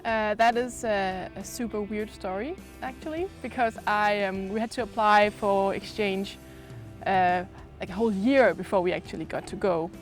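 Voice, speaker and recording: A woman's voice: mid-range voice, moderate pace, soft background music. A young woman from Denmark, talking casually and expressively as an exchange student in an interview. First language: Danish. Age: young adult.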